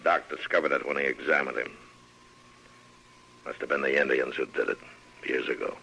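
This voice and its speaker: An actor playing a stern, tough frontier marshal in an old-time radio western, terse and authoritative. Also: male voice, extremely low pitch, steady tone, moderate pace, vocal fry, pushed and bright, a little hiss or hum, full character voice, rough recording.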